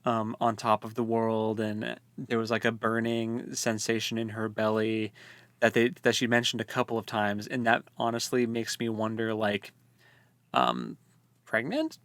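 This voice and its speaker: A man's voice: lowish voice, a little slow, a bit bright, dry recording. Casual man with a close, dry sound. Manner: informal, rambling, conversational. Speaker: podcast co-host. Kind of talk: casual book discussion.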